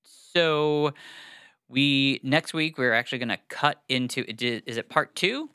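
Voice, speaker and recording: A man's voice: medium pitch, slow pace, bright, forceful tone, clear, resonant tone, no echo. A young man co-hosting a podcast, chatting casually about a book with many fillers and restarts, on a studio mic. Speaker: podcast co-host. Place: small studio, seated. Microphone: studio mic on boom arm.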